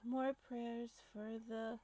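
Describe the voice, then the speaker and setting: A woman's voice: a little high, flat delivery, takes it slowly, warm tone. A woman streamer giving casual, chatty commentary on a game playthrough.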